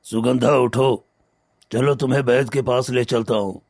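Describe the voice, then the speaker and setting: A man's voice: mid-range voice, fairly even intonation, normal speed, a little mellow, dry recording, clean studio sound, close to an everyday voice. A man playing a callous, scheming elder brother and rich moneylender in a cartoon story, grave and commanding. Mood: feigned concern. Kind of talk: cartoon moral story acting.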